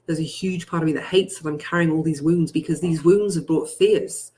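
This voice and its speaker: A person leading a guided healing meditation, speaking deliberately in repeated first-person affirmations with emphatic phrasing. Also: mid-range voice, steady tone, warm tone. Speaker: guided healing session leader.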